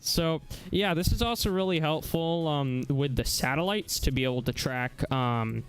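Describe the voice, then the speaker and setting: A man hosting a casual live software demonstration, talking haltingly as he searches for words. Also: a little high, poor audio. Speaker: livestream host. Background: music.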